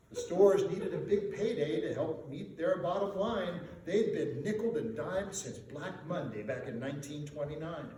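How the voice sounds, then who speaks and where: A man's voice: on the high side, a little mellow. A man reciting a rhyming comic holiday story in a theatrical, emphatic, sing-song way, as a live storyteller to an audience. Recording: distant mic in echoey room, faint noise.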